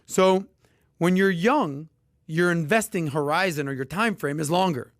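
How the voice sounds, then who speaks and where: A man hosting a casual podcast and explaining investing in an emphatic, animated way, with sudden loud bursts. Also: fairly high pitch, unhurried, no room sound, studio quality. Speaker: podcast host.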